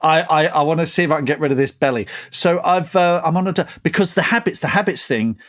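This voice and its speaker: A middle-aged British man chatting casually as a podcast co-host, with a self-deprecating, jokey, animated storytelling manner. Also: fairly high pitch, fast talker. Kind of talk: casual chat.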